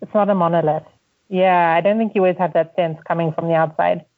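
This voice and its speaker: A woman's voice: fairly low pitch, fairly even intonation, fast. Woman with a Zimbabwean accent on a tinny line. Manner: reflective, many restarts and fillers. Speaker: podcast guest. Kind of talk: casual interview conversation.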